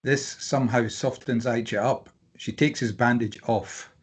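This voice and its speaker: Male narrator, husky voice, reads script directions for a drama table read. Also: mid-range voice, fairly even intonation, unhurried. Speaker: stage directions reader.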